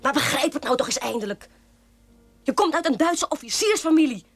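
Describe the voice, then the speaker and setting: An actress playing a mocking, sharp-tongued sister in a radio play, needling and scornful. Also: female voice, high voice, lively intonation, fairly quick. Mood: scolding, insistent.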